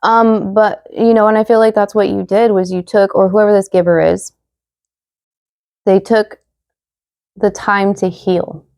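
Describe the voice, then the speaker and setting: A young woman giving a casual tarot reading to viewers, chatty and full of fillers, slightly hoarse as she loses her voice. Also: medium pitch, steady tone, a little slow, pauses often, darkish tone, dry recording, studio quality. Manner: chatty, many fillers, reads card messages aloud. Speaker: tarot reader.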